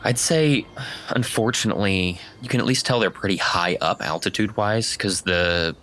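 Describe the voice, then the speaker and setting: A man's voice: fairly low pitch, moderate pace, fairly bright tone. A man narrating a tabletop roleplaying game as its game master.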